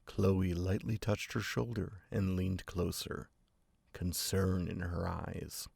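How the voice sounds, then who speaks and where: A very deep narrator's voice with heavy gravel and rasp. It is read in a measured, storybook manner, dry and close. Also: steady tone, darkish tone, no echo. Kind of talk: scripted narration.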